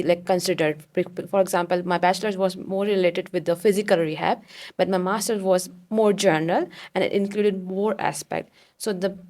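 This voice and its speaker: A woman's voice: fairly low pitch, darkish tone. A young woman from Pakistan, a physical therapist, speaking earnestly as a podcast guest with frequent restarts. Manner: earnest, many fillers and restarts. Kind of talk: podcast interview.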